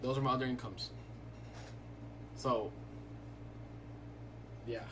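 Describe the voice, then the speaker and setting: A man's voice: medium pitch, slow pace, background noise. Laid-back young male streamer. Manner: laid-back, rambling, fills talk with "like". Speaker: livestreaming day trader. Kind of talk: casual live-stream chat.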